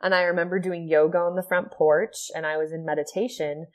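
A woman from Ohio with a low, soft-spoken voice talks as a podcast guest. She tells her story earnestly and reflectively. She often fills her speech with "like" and "you know". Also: level tone, a little fast. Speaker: podcast guest, yoga and sound healing teacher.

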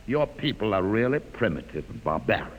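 An actor playing a calm, educated male mutant leader in a 1950s radio drama, measured and quietly ironic. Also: on the low side, lo-fi sound, a little hiss or hum. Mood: calm, wry. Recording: old radio drama with long reverb.

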